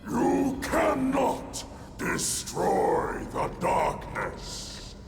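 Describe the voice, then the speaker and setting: A man playing a menacing demonic dark overlord in a video game, with a gravelly, booming, grandiose delivery. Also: huge pitch swings, distant mic, heavily acted. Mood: menacing, wrathful. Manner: slow, grandiose, threatening. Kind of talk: video game villain acting. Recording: heavy echo under loud music.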